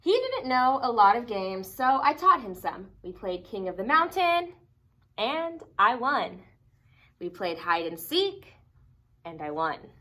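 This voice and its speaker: A woman reading a children's picture book aloud expressively, with dramatic emphasis and deliberate pauses. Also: fairly high pitch, big pitch swings, bright, forceful tone. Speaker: storybook reader.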